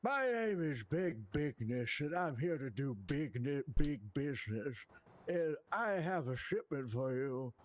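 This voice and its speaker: Self-important, bumbling haulage salesman for game roleplay, played by a man; slow delivery, raised pitch, earnest and repetitive. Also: sing-song. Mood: eager. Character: bumbling, self-important male trucker hawking big business.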